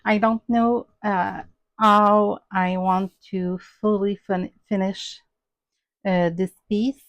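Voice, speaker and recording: Slow, hesitant woman with a Québécois French accent. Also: mid-range voice, level tone, no echo, dull audio. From Quebec, Canada. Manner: hesitant, many "um" and "uh" fillers. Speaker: crafting channel host. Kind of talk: casual show-and-tell vlog.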